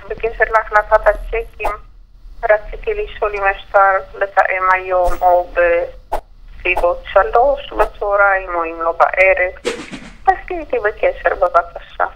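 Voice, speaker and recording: A woman leaving a voice message on an answering machine. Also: medium pitch. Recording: muffled noisy answering machine tape.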